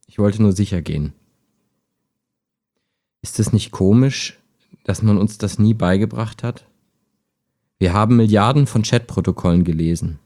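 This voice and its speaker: A man's voice: on the low side, dark tone, natural voice. A man playing a skeptical, wistful chatbot that talks like a human in an intimate radio play, understated and hesitant. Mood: resigned.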